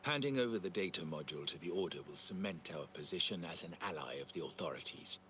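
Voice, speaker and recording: A man's voice: low voice, lively intonation, fast talker, fairly bright tone, slight background noise. A man playing a cold, ambitious schemer in a crime family, speaking with controlled, calculating menace in a video game. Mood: cold, menacing. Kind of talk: dramatic video game dialogue.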